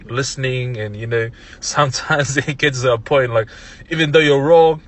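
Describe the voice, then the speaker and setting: A young man from Tonga with a Tongan accent, speaking English as a second language, chatting as a podcast guest in a relaxed way with frequent laughs and restarts. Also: mid-range voice, normal speed. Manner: laid-back, laughs often, many restarts. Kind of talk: casual interview chat.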